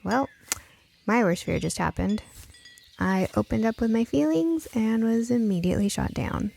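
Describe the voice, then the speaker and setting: A woman voicing a frustrated, stubborn young female protagonist in an audiobook, gruff and huffy, stressing her words. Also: a little high, lively intonation, moderate pace, dark tone, a little hiss or hum, voice pushed up. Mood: exasperated. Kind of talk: audiobook character dialogue.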